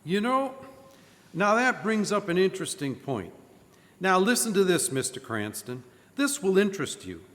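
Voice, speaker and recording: A man's voice: high voice, very animated, normal speed, a bit bright. A man playing an affable, fussy, arthritic insurance agent in old-time radio drama style. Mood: businesslike, guarded. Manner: hearty, fussy, repeats himself. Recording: live stage, reverberant church hall.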